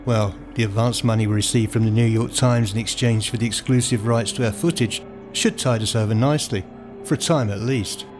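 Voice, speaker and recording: A man reading scripted first-person literary narration in a measured, formal manner, as the narrator of a radio play. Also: mid-range voice. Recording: voice over prominent music. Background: music.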